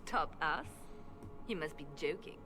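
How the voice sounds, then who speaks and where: A woman's voice: low-pitched, expressive, unhurried, full character voice. An actress playing a ruthless but wounded woman spymaster in a fantasy video game. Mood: scornful. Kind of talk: dramatic fantasy game acting. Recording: loud game music under voice.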